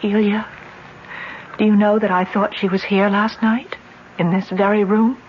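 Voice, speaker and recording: A woman playing a grieving, resolute young village woman in old-time radio drama, speaking intensely and theatrically, halting when troubled. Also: mid-range voice, level tone, lightly acted. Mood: troubled, bitter. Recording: muffled, noisy old radio recording.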